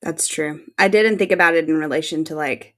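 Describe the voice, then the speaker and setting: A woman's voice: deep voice, a little fast, a bit bright. A woman co-hosting a casual fan podcast in an informal, wry way, with some casual swearing. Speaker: podcast co-host.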